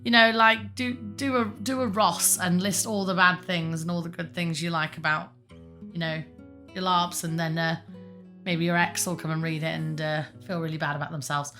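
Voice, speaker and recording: A woman with a croaky voice, giving rambling, chatty advice to her viewers with self-mocking asides. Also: on the low side, fairly even intonation, normal speed, pushed and bright, soft background music. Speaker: larp advice host. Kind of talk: casual advice monologue.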